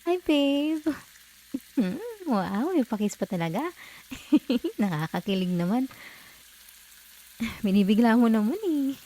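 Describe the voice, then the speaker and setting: Mellow, close-up affectionate girlfriend, acted by a woman. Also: a little high, expressive, slow pace, pauses often, poor audio. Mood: flustered, giggly. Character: affectionate, teasing young live-in girlfriend comforting tired partner. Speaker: ASMR roleplay performer. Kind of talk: ASMR girlfriend roleplay, intimate and conversational.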